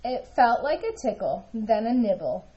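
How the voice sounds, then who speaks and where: A woman narrating a children's picture book aloud in an expressive storytelling style. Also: fairly high pitch, normal speed, dull audio. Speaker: storybook reader.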